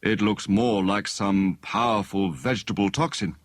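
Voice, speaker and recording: A man voicing a kind, worried elderly doctor in an audiobook, speaking in a formal, deliberate, clinical way. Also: fairly low pitch, fairly even intonation, dry recording, natural voice. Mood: grave, measured.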